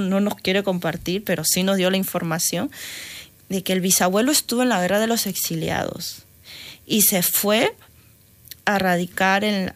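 A middle-aged woman from Peru with a Peruvian Spanish accent, a radio guest talking casually, hesitant and often restarting, quick to laugh. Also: medium pitch. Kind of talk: casual interview talk.

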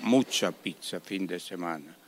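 A middle-aged man from Italy with an Italian accent, a restaurant owner being interviewed, speaking haltingly and slipping Italian words into his sentences. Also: sits low. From Italy, Amalfi coast area. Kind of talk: interview guest.

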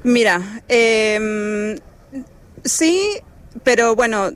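Argentine lady lifeguard boss, lots of ehs and restarts, high-ish voice. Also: expressive, very slow. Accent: Argentine Spanish. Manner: hesitant, many fillers and restarts. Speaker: lifeguard coordinator. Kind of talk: radio interview answers.

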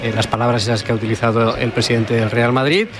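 A man's voice: mid-range voice, flat delivery, quick pace. A man with a Spanish accent from Spain giving an unscripted live radio sports report in hesitant, piecemeal phrases.

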